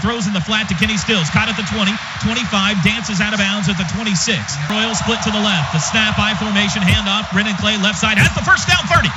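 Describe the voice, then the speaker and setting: High-pitched American football play-by-play man, noisy broadcast. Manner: clipped, rapid-fire phrases; excitable, voice rising on big plays. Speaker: play-by-play announcer. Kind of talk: live American football radio commentary. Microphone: broadcast booth microphone. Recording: dull lo-fi broadcast, loud stadium noise.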